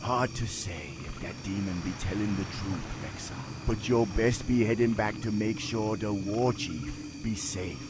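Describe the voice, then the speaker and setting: A man's voice: fairly low pitch, unhurried, few pauses, heavy background noise, lightly acted. A man voicing a fierce, loyal troll chieftain in a fantasy game, commanding, with folksy dialect grammar. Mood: grave resolve. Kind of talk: fantasy game cutscene acting.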